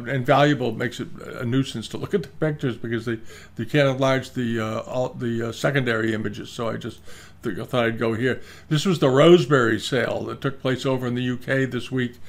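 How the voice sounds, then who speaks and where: A man's voice: medium pitch, creaky. An older man giving rambling, casual commentary on auction results, full of fillers and restarts, recorded at his desk on a webcam. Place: indoor room, screen-share with webcam inset.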